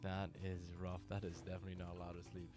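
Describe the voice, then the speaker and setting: Male gamer, deep voice, casual live-stream chatter, music bed behind. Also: quick pace. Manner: relaxed, chatty, talks to viewers by name. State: tired from little sleep. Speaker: game streamer. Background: music playing.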